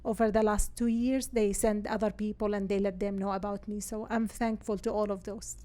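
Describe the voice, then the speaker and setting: A woman from Syria with an Arabic accent, a native Arabic speaker, talking earnestly and haltingly as a guest in a conversational interview. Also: medium pitch, flat delivery, mellow. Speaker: interview guest, real estate agent.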